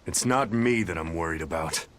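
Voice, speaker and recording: An actor playing a brash male spirit and brawler in a game, talking in a rough, swaggering way. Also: low voice, normal speed, a bit bright, slight background noise. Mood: angry.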